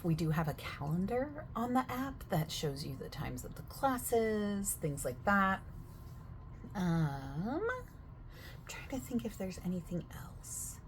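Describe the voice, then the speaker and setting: A young woman speaks softly and gently, playing gym staff in an ASMR sales roleplay. Her voice sits on the lower side, and she over-explains everything in a chatty, unhurried way full of little fillers. A faint hiss hangs behind her.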